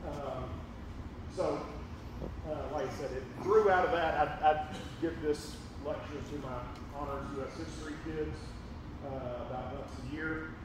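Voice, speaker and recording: Middle-aged male teacher, folksy lecturer with a big carrying voice, slightly high, heard from far off in a roomy hall. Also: steady tone, a little slow. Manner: folksy, rambling, lots of ums and restarts. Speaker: high school history teacher giving a public lecture. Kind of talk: casual public lecture. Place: large echoey auditorium with a screen. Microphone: distant room mic, speaker away from it. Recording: echoey hall from far off, room noise.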